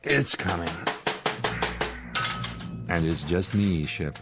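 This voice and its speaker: Sarcastic male American space commander, radio play: lowish voice, needling, short on patience. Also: big pitch swings, slow, loud music behind the voice, exaggerated voice. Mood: weary irritation. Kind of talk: radio drama acting.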